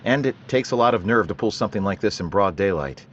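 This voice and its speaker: An actor playing a police detective in a 1940s-style radio drama, asking questions in a level, matter-of-fact way. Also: male voice, fairly low pitch, animated, fast talker, lo-fi sound, a little hiss or hum, natural voice. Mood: businesslike. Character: calm male police detective, businesslike.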